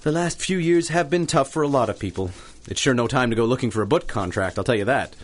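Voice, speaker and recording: Man acting an eager, animated newspaper reporter. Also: medium pitch, quick pace, lightly acted. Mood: earnest, obliging. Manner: rambling, effusive, polite. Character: eager, chatty, ingratiating male newspaper reporter. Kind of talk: 1930s-set radio drama acting.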